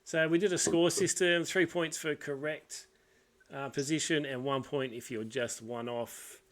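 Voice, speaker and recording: A man's voice: fairly high pitch, steady tone, unhurried. Male podcast co-host, easygoing and self-deprecating, casual sports banter. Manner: laid-back, laughs at himself. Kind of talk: casual sports tipping chat.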